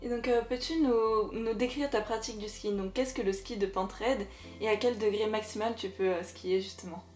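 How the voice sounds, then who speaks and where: A woman's voice: medium pitch, steady tone, normal speed, a little mellow, roomy sound, faint music behind. A woman interviewing a guest for a podcast, asking prepared questions.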